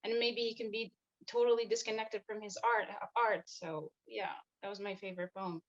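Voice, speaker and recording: A woman's voice: medium pitch, steady tone, normal speed, dull audio. A young woman from Algeria, a student judge, answering interview questions casually and enthusiastically with many fillers.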